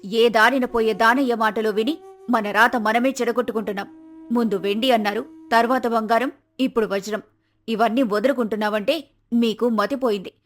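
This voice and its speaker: A woman's voice: fairly high pitch, steady tone, normal speed, close to an everyday voice. A woman voicing a poor, greedy village wife with an emotional, lamenting manner in a moral folktale. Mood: bitter, scolding. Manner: scolding, lamenting. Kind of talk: animated moral folktale acting. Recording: music behind.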